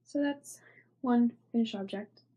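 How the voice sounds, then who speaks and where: A woman's voice: on the high side, measured pace, mellow, lo-fi sound. A young woman hosting a knitting podcast, stuffy from a cold, rambling casually with many fillers and often trailing off into near-whispers. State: stuffy, congested. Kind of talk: casual show-and-tell chat.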